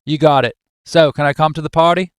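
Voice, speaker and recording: A man attempts a square-jawed Australian office mate in a comic drama. He is nosy, matey and teasing, with slangy phrasing, a slightly high voice and a dry recording. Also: fairly even intonation, brisk, clear, resonant tone, dry recording, natural voice. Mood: cheeky, pushy.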